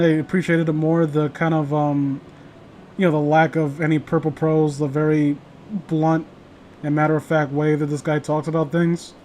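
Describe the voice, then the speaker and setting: Male host, fairly high voice, loose sweary banter over light background noise. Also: darkish tone. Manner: rambling, foul-mouthed, joking. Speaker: host of a horror story reading show. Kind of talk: casual chat and commentary on stories. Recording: noisy home recording, clear background hiss.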